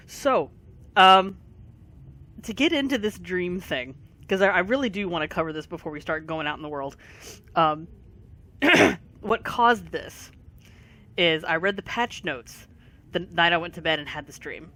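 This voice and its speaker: A tired gaming video creator, short on sleep and fighting allergies, giving a casual rambling commentary full of pauses. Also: medium pitch, lively intonation, bright, forceful tone. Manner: rambling, many pauses and fillers. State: voice affected by allergies.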